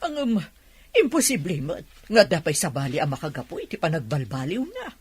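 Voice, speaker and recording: A woman acting as a teasing, expressive villager in an Ilocano radio comedy drama. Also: sits low, a little fast, breathy edge, no room sound, exaggerated voice. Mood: wondering, gossipy.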